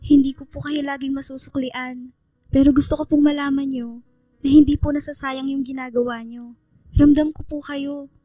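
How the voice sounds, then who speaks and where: An actress playing a shy, polite young female student in a radio drama, soft-spoken and hesitant. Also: high voice, level tone, moderate pace, dry recording, close to an everyday voice. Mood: grateful, earnest. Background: music under the voice.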